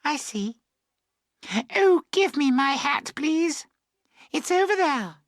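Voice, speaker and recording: A man's voice: very high-pitched, lively intonation, slow pace, no echo, clean studio sound, pitched above the performer's own voice, exaggerated voice. A man voicing silly, oblivious young women in a storybook reading. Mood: innocent, chirpy.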